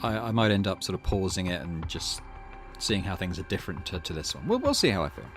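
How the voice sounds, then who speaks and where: A man's voice: deep voice, big pitch swings, fast talker. A man hosting a gaming channel, giving casual let's play commentary and thinking aloud hesitantly. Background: game music.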